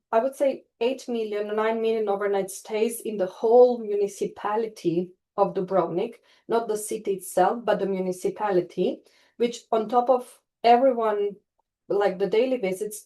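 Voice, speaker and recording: A woman's voice: medium pitch, fairly even intonation, measured pace, warm tone, poor audio. A woman from Istria in Croatia giving an online webinar talk as a tourism researcher, speaking over a video call and hesitating often with fillers and restarts. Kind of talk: online presentation.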